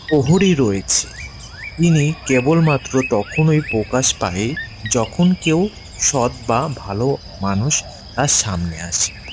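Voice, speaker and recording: A man's voice: mid-range voice, background noise. A man narrating a scripted ghost story for children as a storyteller.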